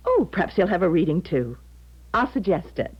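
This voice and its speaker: A woman's voice: lowish voice, big pitch swings, moderate pace, slight background noise. An actress playing a chatty, genteel middle-aged mother in a radio drama, with an effusive manner. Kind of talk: 1970s radio drama acting. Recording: dull, lo-fi 1970s radio broadcast.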